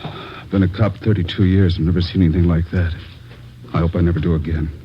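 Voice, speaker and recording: A grave older American police chief acted by a man, very low and gruff, speaking in short, somber phrases. He is a weary veteran in a radio crime play, on a dull old recording with faint noise. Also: fairly even intonation, fast talker, lightly acted. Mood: grim. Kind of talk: old-time radio police drama acting.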